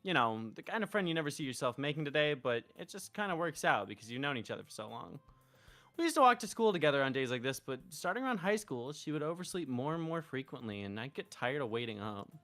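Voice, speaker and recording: Lively streamer with a mid-range voice. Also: medium pitch, fast talker, faint music behind. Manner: profane, informal. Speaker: host, streamer. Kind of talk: casual chat while livestreaming a game, reading its text aloud.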